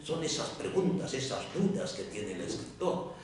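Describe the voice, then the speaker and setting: African writer speaking in an echoey hall. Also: male voice, mid-range voice, normal speed, crowd noise. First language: an African language. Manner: animated, many repeated words and restarts. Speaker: panelist writer. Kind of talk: round-table talk. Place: lecture room. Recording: echoey hall, distant mic.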